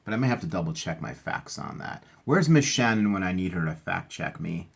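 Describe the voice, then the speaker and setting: A man with a slightly husky voice reading aloud to children and explaining things simply in a chatty, encouraging teacher's manner. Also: low voice, lively intonation, brisk. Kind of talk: animated read-aloud with casual explanations.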